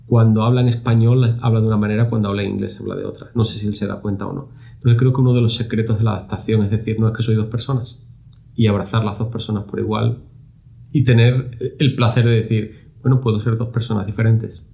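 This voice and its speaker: A man's voice: on the low side, fairly even intonation, quick pace, gravelly, mellow. A middle-aged man from Spain, a guest answering interview questions in a reflective way, often repeating words as he searches for phrasing. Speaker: university lab director, guest.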